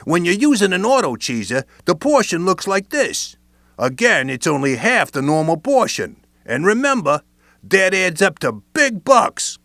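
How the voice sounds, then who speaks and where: A man's voice: high voice, very animated, unhurried, gravelly, a bit bright, no echo, clean studio sound. A man narrating a training video in playful wiseguy slang. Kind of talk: scripted instructional narration.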